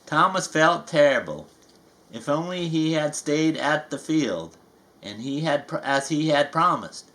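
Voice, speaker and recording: A man's voice: on the high side, animated, unhurried, fairly bright tone. A man reading a children's storybook aloud, folksy and playful, with chatty asides. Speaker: storybook reader.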